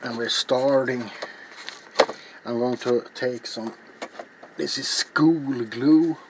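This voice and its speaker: A man's voice: medium pitch, slow pace. A middle-aged man hosting a casual ASMR craft tutorial, talking in a rambling, offhand, self-deprecating way as he works. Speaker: ASMR craft video host. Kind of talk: casual hands-on ASMR tutorial while working. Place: small cluttered indoor hobby workshop. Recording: noisy home recording, clear background noise.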